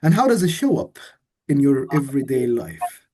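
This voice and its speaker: A man hosting an interview, asking reflective questions in a soft, measured way. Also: mid-range voice, big pitch swings, background noise. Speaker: host.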